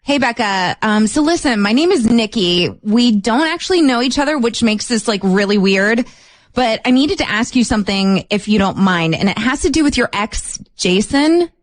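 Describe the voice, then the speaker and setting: A woman's voice: on the high side, animated, moderate pace. A woman co-hosting a radio show, chatty and direct, asking probing questions in a casual way.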